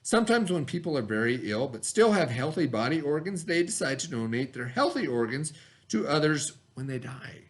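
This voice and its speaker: A man's voice: a little high, very animated, moderate pace. A man teaching a read-aloud lesson for children, speaking instructively, repeating key words and prompting with questions.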